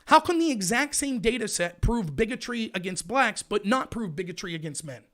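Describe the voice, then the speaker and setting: A man hosting a live political show, ranting in a loud, indignant voice. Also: sits high, animated, normal speed. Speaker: livestream show host. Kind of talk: heated political commentary.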